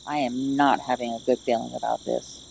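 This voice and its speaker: A woman playing a wary, skeptical female sidekick with an expressive, questioning delivery in an audio play. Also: very deep voice, brisk, gravelly, low-quality recording. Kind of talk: amateur audio play acting. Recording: steady noise behind the voice.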